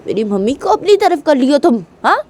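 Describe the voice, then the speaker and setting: Casting: woman playing jealous sulky girl for story drama; raised pitch, whiny, accusing, repetitive demands. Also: sing-song, fairly quick, a little mellow, slight background noise. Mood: furious, demanding. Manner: whining, repetitive, demanding questions. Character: angry, jealous, sulking girl confronting her mother and rival.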